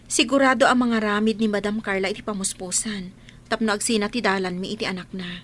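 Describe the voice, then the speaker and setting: A woman's voice: medium pitch, steady tone, fairly quick, fairly bright tone, a little hiss or hum, lightly acted. An actress playing an earnest, hesitant young woman worried about love in a radio drama.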